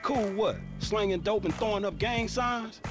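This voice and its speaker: A man's voice: high voice, moderate pace, natural voice. Sarcastic young hustler played by a man, music behind. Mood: sarcastic, irritated. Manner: scoffing, sarcastic, slangy. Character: sarcastic young male street hustler, grounded and exasperated. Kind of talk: video game dialogue, casual street banter.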